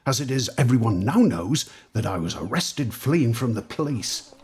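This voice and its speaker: An actor playing a suspicious, sarcastic man in an audio drama, swearing and interrogating in a rough, husky voice. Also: medium pitch, lively intonation, normal speed, darkish tone, faint music behind, close to an everyday voice. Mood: sarcastic anger. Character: suspicious, angry time-travelling man, sarcastic and accusing. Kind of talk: naturalistic audio drama acting.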